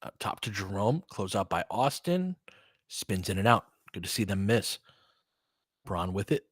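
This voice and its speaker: A man's voice: on the low side, very animated, measured pace, dry recording, clean studio sound. A man doing casual live basketball commentary, switching between clipped play calls and conversational analysis of the game.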